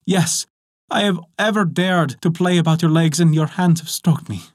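A man's voice: on the high side, moderate pace, no room sound, clean studio sound, voice pushed up, lightly acted. A man reading a storybook, voicing an enchanted young princess with a sweet, imploring voice. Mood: pleading.

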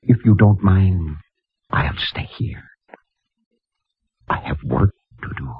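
An actor playing a gentle, solemn mission priest in 1950s radio drama, speaking in a hushed, pious and courtly way. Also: male voice, low-pitched, lively intonation, slow, husky, exaggerated voice. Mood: troubled. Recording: dull old radio recording.

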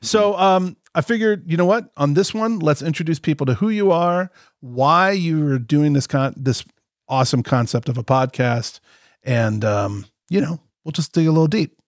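A man's voice: a little high, moderate pace, no room sound, studio quality. A man in his fifties co-hosting a podcast, jokey and chatty as he reads out a long guest introduction. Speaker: co-host. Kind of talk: podcast interview with an ad read.

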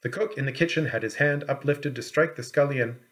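A man narrating a storybook as an audiobook reader, in a measured, expressive storytelling manner. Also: medium pitch.

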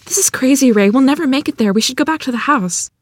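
A woman's voice: high voice, fast talker, no echo. A woman playing an anxious young farm wife and mother in a period screenplay table read, hesitant and pleading. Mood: pleading, desperate.

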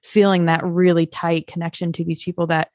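A woman's voice: lowish voice, flat delivery, brisk, darkish tone, dry recording. A woman hosting an interview podcast, enthusiastic and affirming as she sets up questions for her guest. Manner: enthusiastic, frequent "I love it". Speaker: podcast host.

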